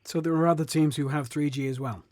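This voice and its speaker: Halting male interviewer, slightly high voice. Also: fairly even intonation, a little mellow. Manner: halting, tentative questioning. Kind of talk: conversational sports interview.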